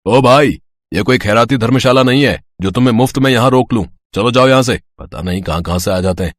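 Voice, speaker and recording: A man's voice: medium pitch, a little fast, no echo, natural voice. A man voicing an arrogant, well-off roadside eatery owner who taunts and turns people away. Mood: dismissive. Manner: taunting, dismissive. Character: arrogant rich dhaba owner, mocking man.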